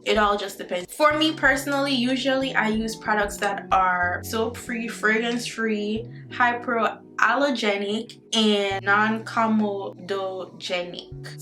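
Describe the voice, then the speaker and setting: A woman's voice: medium pitch, bright, forceful tone. A young woman in her twenties with a Jamaican accent, chatting upbeat and directly to viewers in a casual skincare vlog, recorded at home on a camera mic. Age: 20s. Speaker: beauty vlogger. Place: indoor room at home, not a bathroom.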